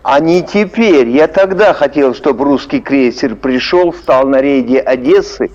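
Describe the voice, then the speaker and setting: A man's voice: medium pitch, a little slow, a little mellow, highs cut off. A man arguing back sarcastically, firing needling questions at the other speaker. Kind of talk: heated argument.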